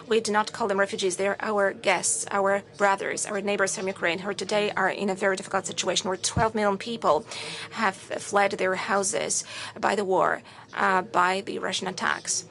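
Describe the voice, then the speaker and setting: A woman interpreting a formal state speech into English with an even, measured delivery and occasional hesitations. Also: medium pitch.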